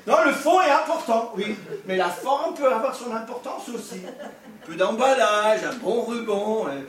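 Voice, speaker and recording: An older man from Scotland, preaching a humorous, animated sermon with asides. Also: high-pitched, unhurried. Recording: echoey hall, distant mic.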